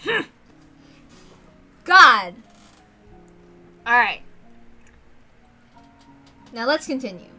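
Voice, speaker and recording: High, bright, excitable woman reader. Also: drawn-out words, pauses often, soft background music. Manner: excitable, animated, rambling. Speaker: host of a read-aloud series. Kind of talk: casual chat and visual novel read-aloud.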